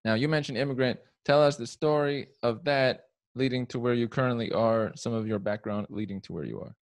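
A man's voice: mid-range voice, brisk. A young man hosts an interview from a plain indoor room, speaking into a handheld microphone. He keeps things casual, asking questions and offering short, affirming replies. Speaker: podcast host.

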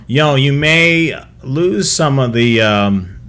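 A man's voice: mid-range voice, expressive, slow, a bit bright. Middle-aged American man, casual explainer. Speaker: co-host of a police scanner show. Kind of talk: casual explanatory livestream talk. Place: small home room with equipment, indoors.

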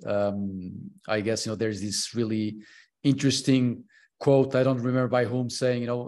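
A man's voice: mid-range voice, a little mellow. Hesitant Portuguese-born man on a video call. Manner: hesitant, many fillers and restarts. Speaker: podcast guest, consultant and mentor. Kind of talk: casual interview chat. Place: home room, on a video call.

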